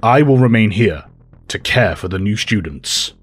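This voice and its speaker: A man voicing a wise, troubled professor with a measured, earnest manner, in an audio drama. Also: lowish voice, expressive, in a large hall, soft background music, natural voice.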